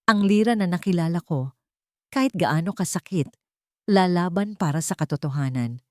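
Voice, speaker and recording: A woman's voice: lowish voice, animated, moderate pace, dark tone, no room sound, studio quality, natural voice. A woman voicing a determined, protective young man in an urgent, persuasive manner for an audio love story. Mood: insistent.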